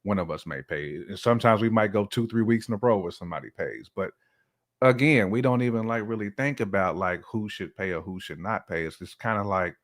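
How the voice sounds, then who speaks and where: A man with a fairly low voice talks as a guest on a relationship-style chat show. His delivery is informal and colloquial, giving opinions in everyday slang. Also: animated, a little fast. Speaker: podcast guest. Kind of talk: casual conversational opinion-sharing.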